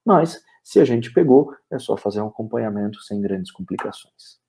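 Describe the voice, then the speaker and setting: A man's voice: on the low side, expressive, normal speed, dark tone, slightly rough. A man with a Brazilian Portuguese accent giving a veterinary webinar lecture, explaining step by step, with "é" fillers and frequent "ok?" checks.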